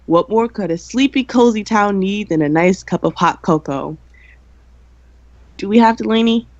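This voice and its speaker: A young woman playing a dry, cynical high school senior girl who co-hosts a campus radio show in an amateur radio play. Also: normal speed, a little mellow, no room sound, highs cut off, natural voice. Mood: announcing.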